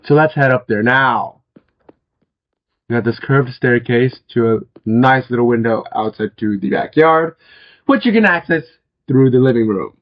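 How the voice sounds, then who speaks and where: A young man with a hoarse, worn-out voice, chatting casually as he walks viewers through a house in a video game, with many fillers and throat clearings. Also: medium pitch, lively intonation, moderate pace, muffled recording. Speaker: gaming video host. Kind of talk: casual game walkthrough commentary.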